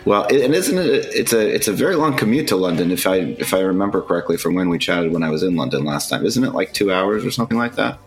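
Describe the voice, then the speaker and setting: A male podcast host with a low voice speaks in an upbeat, friendly way. He recaps and closes the show over faint music. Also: expressive, fast talker, hardly any pauses. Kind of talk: podcast interview.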